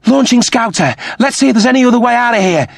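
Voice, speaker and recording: A man's voice: very high-pitched, animated, fast, vocal fry, no echo, voice pushed up, full character voice. A man voicing an urgent, demanding woman who crews a spaceship in an audiobook story. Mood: urgent, strained.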